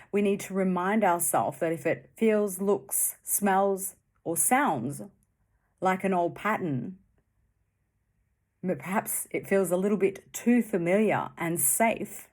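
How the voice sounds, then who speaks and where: Very slow, low-pitched woman guiding meditation. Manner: soothing, gentle, with long pauses between short phrases. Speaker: meditation guide. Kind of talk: guided meditation.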